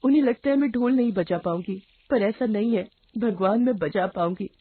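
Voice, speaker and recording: A woman's voice: medium pitch, moderate pace, slightly rough, no echo, narrow, tinny audio, natural voice. A woman voicing a poor, determined young girl drummer in a story, speaking politely and pleadingly. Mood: sad, hopeful.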